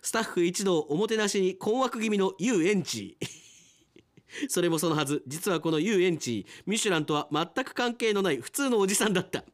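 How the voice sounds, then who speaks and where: A man's voice: high voice, expressive, moderate pace. A man hosting a casual podcast after-talk, chattily reading listener mail and commenting as he goes. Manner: chatty, commenting on the stories he reads. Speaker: radio announcer hosting an after-show talk.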